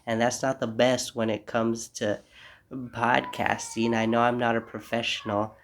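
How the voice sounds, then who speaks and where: A man's voice: mid-range voice, flat delivery, unhurried, slight background noise. A young man hosting a casual solo podcast, stuffy from a cold, talking in a rambling, reflective way. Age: young adult. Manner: rambling, reflective, apologetic asides. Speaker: podcast host. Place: home room.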